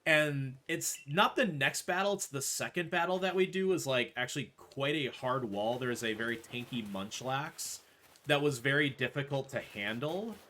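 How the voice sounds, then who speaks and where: A man's voice: medium pitch, moderate pace, sharp tone, a little hiss or hum. A man giving casual, explanatory speedrun commentary full of gamer jargon while he plays. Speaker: speedrunner playing and explaining the run.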